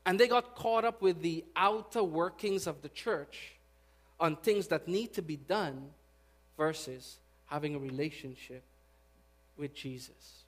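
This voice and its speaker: A pastor from Guyana whose Guyanese accent colours every line of his sermon. His voice sits a little high for a man. He moves from hushed, prayerful passages to loud, emphatic preaching. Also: unhurried.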